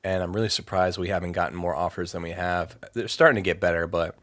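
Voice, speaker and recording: A man talking casually in an interview, relaxed and halting, often restarting his sentences. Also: low voice, no echo. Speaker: guest vocalist.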